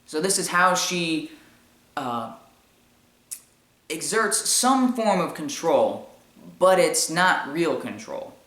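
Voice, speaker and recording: A man with a high-pitched voice giving informal opinion commentary in an explanatory, argumentative way with emphatic repetition. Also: very animated, takes it slowly, long pauses, a bit bright. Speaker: commentary show host. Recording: echoey room sound.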